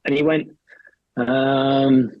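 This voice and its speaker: A man's voice: medium pitch, flat delivery, very slow, no echo, dull audio. A man in his forties, a podcast guest, telling his story with wry humour. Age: 40s. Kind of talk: casual chat.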